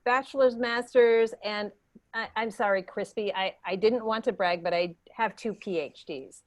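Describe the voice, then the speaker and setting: A woman's voice: mid-range voice, moderate pace, close to an everyday voice. A woman playing a smug, boastful cleaning researcher with doctorates in an improvised comedy sketch, self-important and mock-modest.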